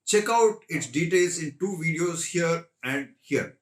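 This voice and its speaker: A man's voice: on the high side, animated, measured pace, fairly bright tone. A man methodically explaining equipment as the presenter of a tech tutorial.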